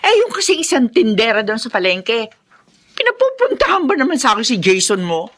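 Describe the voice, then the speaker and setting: A woman's voice: on the high side, huge pitch swings, brisk, a bit bright, exaggerated voice. A woman playing a spiteful, irritable partner in a Filipino radio drama, complaining with snappish scorn. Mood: irritated.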